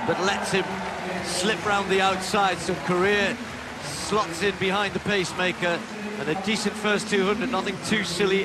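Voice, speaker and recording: A man giving live play-by-play athletics commentary, building to shouts as the race unfolds. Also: high-pitched. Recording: broadcast commentary over loud stadium noise.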